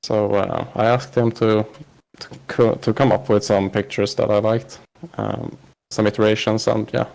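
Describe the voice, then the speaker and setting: Gravelly Swedish-accented man with a cold. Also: on the low side, little pitch movement, a little mellow, poor audio. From Sweden. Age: 30s. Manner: halting, many filler pauses and restarts. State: has a cold. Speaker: podcast guest. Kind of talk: casual interview chat.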